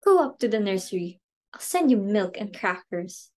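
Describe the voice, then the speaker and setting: A woman's voice: mid-range voice, big pitch swings, moderate pace, warm tone. A woman playing an imperious, short-tempered, status-obsessed society hostess and mother in an amateur play reading, with an indignant, theatrical delivery. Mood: furious, scolding.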